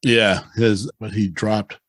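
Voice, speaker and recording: A man in his forties hosting a podcast in casual chat. He tells stories with energy and loudly acts out the lines he quotes. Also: fairly low pitch, level tone, slow, no room sound. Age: 40s. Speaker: podcast host.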